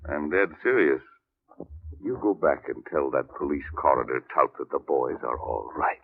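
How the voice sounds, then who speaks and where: An actor playing an aging, tired and wily crime boss with a gravelly, husky voice, emphatic in an old radio drama style. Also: male voice, very deep voice, lively intonation, moderate pace, heavily acted. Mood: stern, threatening. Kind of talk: 1940s-50s radio acting. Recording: muffled, narrow-band old radio recording.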